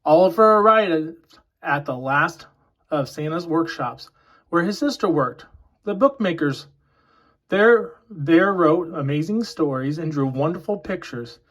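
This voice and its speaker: A man reading a children's picture book aloud in a deliberate storytelling way. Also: on the high side, animated, fairly bright tone, low-quality recording.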